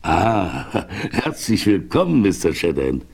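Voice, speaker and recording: A man's voice: low-pitched, sing-song, measured pace, creaky, darkish tone, husky, full character voice. A man playing a polite, concerned townsman who greets a visitor in a courteous, formal way. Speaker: host receiving a visitor. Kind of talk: 1970s German radio play acting.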